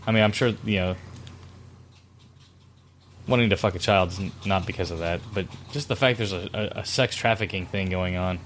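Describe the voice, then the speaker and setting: A man's voice: sits low, moderate pace, pauses often, fairly bright tone, slight background noise. A man, co-hosting a podcast, joking crudely and swearing freely in casual chat. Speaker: podcast co-host.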